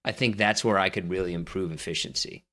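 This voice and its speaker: A man's voice: deep voice, fast, pushed and bright. A man co-hosting a casual podcast chat, teasing his partner and handing out scores. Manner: teasing, judging and rating his co-host. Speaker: podcast co-host.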